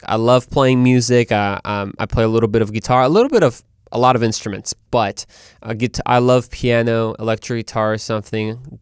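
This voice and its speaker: Casual male host, medium pitch, crisp voice, chatty self-interrupting delivery to listeners. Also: dry recording, studio quality. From Guadalajara, Mexico. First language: Spanish. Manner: informal, conversational, self-interrupting. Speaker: show host.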